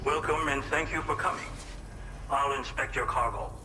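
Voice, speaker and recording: An actor playing a formal, gracious male prepper greeting a courier in a video game, polite and deliberate. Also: mid-range voice, fairly even intonation, heavy background noise, natural voice. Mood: pleased, gracious. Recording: echoey room with clear music behind.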